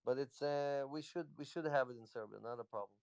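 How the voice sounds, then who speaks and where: A man's voice: medium pitch, fairly quick, a little mellow, smooth, dry recording. A man, a company executive, casually answering questions on a live audio chat in a loose, rambling way. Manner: rambling, loose, lots of "you know".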